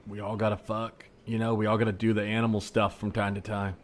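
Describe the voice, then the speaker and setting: A man improvising a desperate, sheepishly philosophical shopper in a comedy scene, halting and rambling as he earnestly justifies himself. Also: fairly low pitch, completely flat delivery, lightly acted. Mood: exasperated, defensive.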